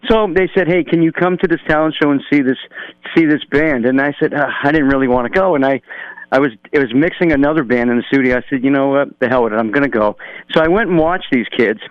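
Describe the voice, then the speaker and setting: A man's voice: medium pitch, fast talker, clean tone, no echo. A man from New Hampshire, a drummer calling in to a radio interview, telling long stories loosely with many fillers. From New Hampshire, USA. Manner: rambling, relaxed storytelling with many fillers. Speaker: musician guest, drummer. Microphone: telephone. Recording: dull telephone line.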